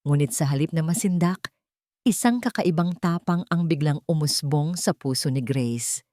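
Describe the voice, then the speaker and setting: A woman's voice: low voice, moderate pace, mellow, no echo, studio quality. A woman storyteller delivering scripted, dramatic narration for a romance audio drama.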